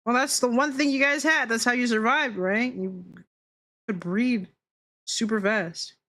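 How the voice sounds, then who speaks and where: A woman's voice: a little high, measured pace, sharp tone. A woman streamer chatting casually while she draws, with a teasing, playful way of talking. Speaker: VTuber streamer. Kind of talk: casual livestream chat while drawing.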